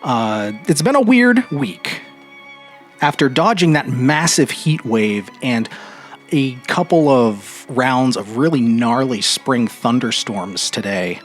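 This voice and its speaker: An American man with a mid-pitched voice hosts a podcast on his own. He talks in a casual, rambling monologue, often breaking off and picking up again. Faint music plays behind his informal delivery. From Vermont, New England. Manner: informal, rambling, self-interrupting. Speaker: podcast host.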